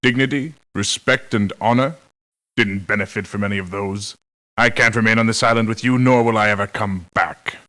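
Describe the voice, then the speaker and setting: An actor playing a dignified, proud doctor turned mercenary in a video game, speaking in formal, stern phrases. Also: male voice, on the low side, bright, forceful tone. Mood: stern, reproachful. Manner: formal, measured wording, reproachful. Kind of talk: video game voice acting, short scripted lines.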